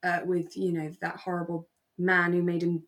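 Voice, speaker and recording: A woman's voice: lowish voice, monotone, moderate pace, a bit bright. A woman co-hosting a podcast in casual, reflective chat, hedging and thinking aloud as she goes. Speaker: podcast co-host. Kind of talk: casual review chat about a radio drama.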